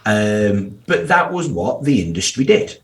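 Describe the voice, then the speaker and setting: A man in his thirties with a broad Yorkshire accent and a fairly low voice. He talks candidly and bluntly, dropping slang and the occasional swear word. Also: big pitch swings, a little slow. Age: 30s. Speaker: guest financial planner. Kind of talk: casual podcast interview.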